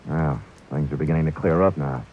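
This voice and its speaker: An actor playing a wry, hard-boiled private detective who narrates in a dry, clipped, sardonic way, in a vintage radio drama. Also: male voice, a bass voice, brisk, slight background noise. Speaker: narrator and lead. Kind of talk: 1940s radio acting. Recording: dull lo-fi old radio broadcast with music.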